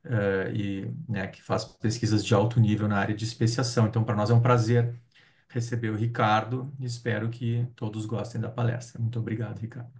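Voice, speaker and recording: A man introduces a guest speaker in an informal way, often tagging his sentences with quick questions. His voice is fairly low. He sounds distant, as though he is speaking away from the mic. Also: flat delivery. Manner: warm, informal, adds tag questions. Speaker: seminar host.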